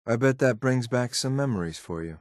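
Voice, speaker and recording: An actor playing a loyal, coldly disdainful synth soldier in a video game, speaking in a clipped, military, deferential but blunt way. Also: male voice, medium pitch, animated, a little fast, no echo, clean studio sound, lightly acted. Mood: urgent, concerned. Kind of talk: video game companion voice lines.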